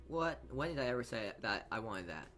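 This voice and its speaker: A man's voice: medium pitch, big pitch swings, brisk, pushed and bright, faint music behind. A man voicing a defensive, irritated young male protagonist while reading visual novel dialogue aloud, with emphatic retorts. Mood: indignant. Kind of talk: amateur read-aloud of game dialogue.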